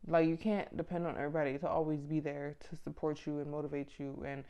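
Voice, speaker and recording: A woman's voice: deep voice, little pitch movement, quick pace, warm tone, smooth. A woman in her mid twenties chatting casually and motivationally to camera, with a blunt, informal, slangy manner. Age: mid 20s. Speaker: vlogger giving self-improvement advice.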